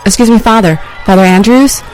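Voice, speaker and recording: A woman plays an on-the-scene news reporter in an audio drama. She delivers her report dramatically, in a middle-to-low voice. Music plays clearly behind her. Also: a little fast, darkish tone, lightly acted, low-quality recording. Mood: eager. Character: female field reporter at a riot scene, increasingly frightened.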